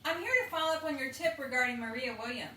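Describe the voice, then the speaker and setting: A woman playing a brisk, authoritative state police officer in an audio drama, speaking in clipped official phrases. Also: high voice, pushed and bright. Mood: official, firm. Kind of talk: naturalistic radio drama dialogue. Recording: echoey, distant, noisy location sound.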